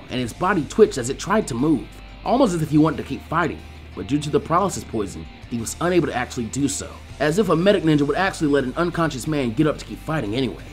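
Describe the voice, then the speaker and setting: A man narrating an action story from a script in an expressive audiobook style. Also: a little high. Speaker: fan fiction audiobook narrator. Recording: music behind the voice.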